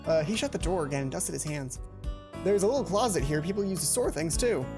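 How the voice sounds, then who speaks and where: A man's voice: a little high, lively intonation, fast. A young man hosting a let's play, reading a visual novel aloud and adding casual commentary. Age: young adult. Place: small indoor home room. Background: game music.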